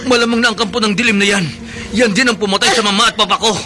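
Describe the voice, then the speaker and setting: A man's voice: high voice, fairly even intonation, rapid-fire, very noisy, close to an everyday voice. A man playing an earnest, brave young hero and suitor in a horror radio drama, declaiming melodramatically. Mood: alarmed.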